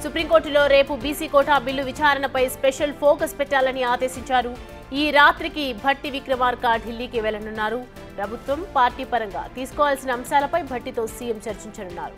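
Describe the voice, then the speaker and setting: A woman news anchor delivers live news over a music bed. Her voice sits fairly high and she speaks at a quick clip.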